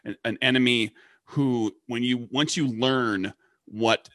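A man's voice: mid-range voice, steady tone, slow, a bit bright, dry recording. A man with a mid-range voice talks at length on a podcast, restarting his phrases and filling gaps with ums. The recording is dry and close. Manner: rambling, many restarts and ums. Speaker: podcast co-host. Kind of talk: casual chat.

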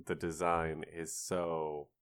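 Male co-host, deep pitch, playful and enthusiastic casual game discussion. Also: level tone, takes it slowly, clean tone. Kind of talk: casual chat about a video game.

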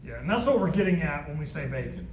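A man's voice: a little high, sing-song, fast, a crowd in the background. A man teaching a Bible study class, talking conversationally and often asking the group questions. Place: classroom or church meeting room. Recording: roomy, distant, dull lo-fi with faint noise.

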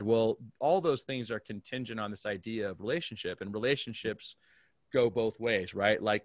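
A man's voice: medium pitch, no room sound. An American man from Alaska, a pastor, explaining faith conversationally and earnestly as an interview guest. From Alaska, United States.

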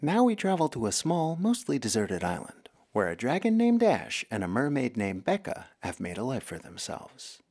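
A man's voice: mid-range voice, wildly swooping pitch, normal speed, few pauses, darkish tone, breathy edge, full character voice. A man giving dramatic scripted narration for an audio drama. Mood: storytelling. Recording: prominent music behind the voice.

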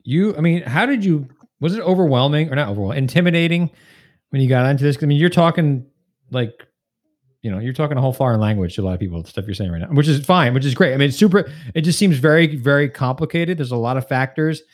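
A man's voice: medium pitch, expressive, fast talker. An American man hosting a podcast, asking informal, rambling questions that he keeps rephrasing. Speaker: podcast host, interviewer. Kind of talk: casual interview questions.

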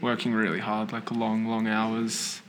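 A man's voice: fairly low pitch, monotone, a little slow, a bit bright. A young man from Australia, a guest artist chatting casually and rambling with many fillers in a noisy library. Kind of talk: casual interview chat. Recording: faint background noise in a library.

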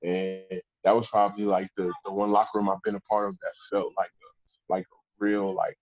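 A man, a professional football player, telling stories in a casual interview with a deep voice and a loose, rambling way of talking. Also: level tone, moderate pace, a little mellow, highs cut off. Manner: laid-back, rambling with restarts. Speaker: pro football player guest.

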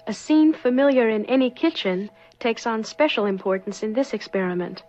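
Woman, higher-pitched voice, formal scripted documentary narration, dull old film soundtrack, clear music behind. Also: lively intonation, darkish tone. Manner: formal, measured.

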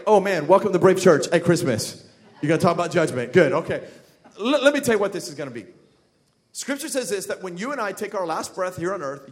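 A man preaching a sermon to a church congregation. He talks casually, cracks jokes and builds up to loud, emphatic passages. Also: high voice. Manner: conversational, jokey, builds to shouting emphasis. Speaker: pastor. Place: church sanctuary.

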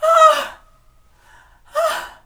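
A woman's voice: very high-pitched, level tone, background noise, full character voice. A woman acting an anguished, intense sea captain in a theatrical verse audio drama, pleading, raging and recounting a voyage. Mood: frantic pleading, raging. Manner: intense, theatrical, measured in telling, wailing in pleas.

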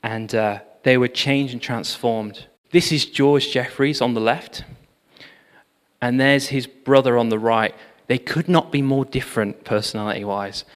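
A man giving a sermon, telling revival history in an animated storytelling manner with frequent fillers. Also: mid-range voice, unhurried. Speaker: preacher. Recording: long hall reverb.

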